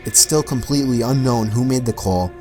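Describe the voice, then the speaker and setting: A man's voice: medium pitch, brisk, dark tone, soft background music. A man delivering scripted documentary-style narration about real mysteries. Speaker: video narrator.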